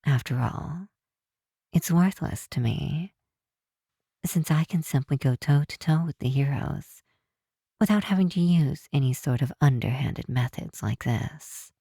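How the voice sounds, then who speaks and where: A woman playing a possessive supervillain who is softly menacing yet tender, in an intimate ASMR roleplay with a hushed, teasing delivery. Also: sits low, no echo, studio quality, exaggerated voice. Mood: calm, earnest. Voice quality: breathy, hushed. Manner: unhurried, teasing, theatrical.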